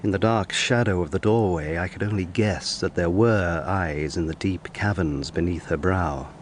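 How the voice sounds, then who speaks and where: An actor playing an earnest, curious young lawyer in a period audio drama, with a measured, literary, musing delivery. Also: male voice, low voice, slight background noise, lightly acted. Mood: musing, intrigued. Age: young adult. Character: earnest, curious young male lawyer recounting his tale.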